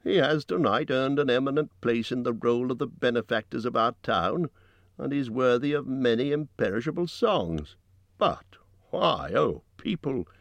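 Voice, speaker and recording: A man's voice: mid-range voice, expressive, normal speed, vocal fry, no echo, highs cut off, pitched above the performer's own voice. A man voicing a cunning, self-serving old town master in a pompous, indignant, oratorical manner for a fantasy storybook. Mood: smoothly flattering.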